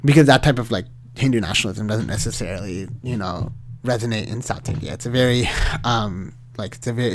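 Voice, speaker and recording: A young man from Sri Lanka telling a story in casual conversation, animated and rambling, with many fillers and restarts. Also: fairly low pitch.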